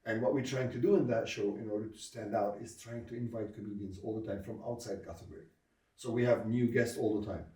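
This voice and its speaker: A man speaking English as a second language, a comedy club founder telling stories in a casual interview, earnest and pausing often on fillers. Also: on the low side, fairly even intonation, mellow, recorded from a distance. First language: neither English nor Swedish. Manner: hesitant, many filler pauses, earnest. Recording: echoey room, voice off-mic.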